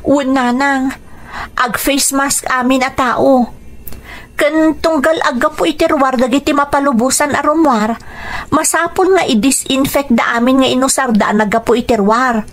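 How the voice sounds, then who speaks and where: A woman's voice: high-pitched, normal speed, close to an everyday voice. A woman playing a caring, cautious grown daughter in a radio drama, with a Filipino accent and an earnest, explanatory manner. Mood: earnest, advising.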